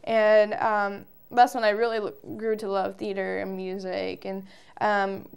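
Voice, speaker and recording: A woman's voice: mid-range voice, little pitch movement, unhurried, vocal fry, clean tone. A woman in her early twenties with an American accent, giving chatty, informal answers as a musician guest in an interview. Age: early 20s.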